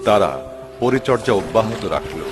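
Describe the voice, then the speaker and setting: A man's voice: fairly low pitch, lively intonation, moderate pace, over loud music. A man narrating a scripted children's story as its narrator.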